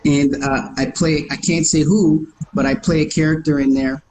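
A man's voice: fairly high pitch, level tone, normal speed, darkish tone, a little hiss or hum, low-quality recording. A Canadian man with a slight New York accent, an actor chatting as a guest in a casual interview, friendly and often restarting his phrases.

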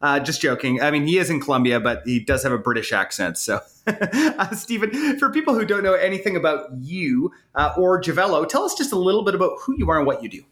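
A man's voice: fairly high pitch, big pitch swings, quick pace, a bit bright. A man hosting a business podcast, upbeat and joking as he asks questions. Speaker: podcast host. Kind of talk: podcast interview and ad read.

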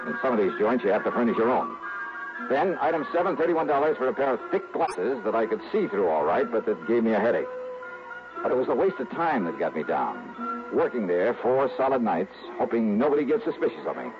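An actor playing a wry, world-weary private investigator, narrating in clipped, confiding 1960s hardboiled radio drama style. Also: male voice, medium pitch, very animated, fairly quick. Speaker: lead actor and narrator of a detective series. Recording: muffled old radio broadcast with music.

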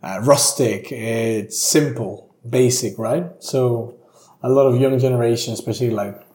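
A man's voice: medium pitch, a little slow, mellow. A young Peruvian man, a native Spanish speaker with a Peruvian accent, chatting informally as an interview guest. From Peru. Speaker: guest, drinks brand ambassador. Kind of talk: casual interview conversation. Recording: echoey room.

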